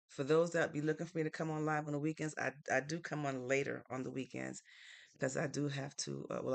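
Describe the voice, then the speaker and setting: Woman, live-stream host, very gravelly fry, easygoing chatty manner, from Connecticut. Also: rumbling low. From Norwalk, Connecticut, United States. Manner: easygoing and chatty, thinks aloud with fillers. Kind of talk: casual live-stream chat reading viewer comments.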